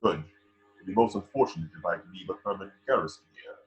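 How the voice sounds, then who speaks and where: A man playing a menacing dark lord of science fiction in an amateur script read, commanding and coldly formal. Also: low voice, fast talker, lightly acted. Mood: threatening. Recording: dull lo-fi, noisy microphone.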